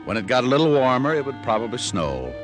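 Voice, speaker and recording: An actor playing a laid-back, wry private detective in a 1950s radio drama, with casual, clipped hardboiled delivery. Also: male voice, medium pitch, big pitch swings, fast, close to an everyday voice. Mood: relaxed, narrating. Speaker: lead actor and narrator. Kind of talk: 1950s radio detective drama acting, first-person narration. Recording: vintage radio recording with music.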